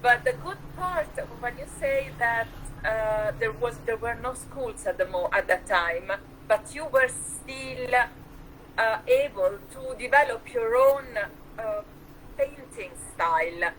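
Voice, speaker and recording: A woman's voice: fairly high pitch, big pitch swings, slow pace, fairly bright tone, a little hiss or hum, poor audio. A woman host with an Italian accent, asking questions over a video call, enthusiastic and full of restarts. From Switzerland. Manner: enthusiastic, with many uhs and restarts. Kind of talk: interview questions.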